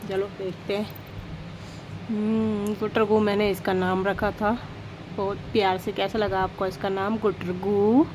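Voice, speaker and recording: A woman's voice: mid-range voice, level tone, measured pace, background noise. A woman in her thirties, a travel vlogger, recorded outdoors at night on a hand-held phone and talking playfully to a pigeon. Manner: coaxing, playful baby talk to a bird. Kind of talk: casual vlog narration and talking to a pigeon. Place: outdoors at night beside a parked tractor on a bus trip. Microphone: phone camera held by hand.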